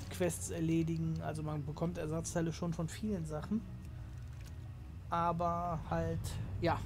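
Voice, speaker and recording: A man hosting a gaming channel, chatting casually through his play and explaining it to viewers, his voice slightly hoarse from a cold. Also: on the high side. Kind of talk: casual let's play commentary.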